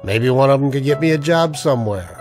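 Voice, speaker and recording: A man's voice: medium pitch, lively intonation, brisk, darkish tone, soft background music, natural voice. A man playing a wary, hard-up army-veteran husband in a radio drama, with a sardonic, colloquial delivery. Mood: casual, joking. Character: broke, wary army-veteran husband narrating his noir misadventure. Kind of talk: old-time radio drama acting and first-person narration.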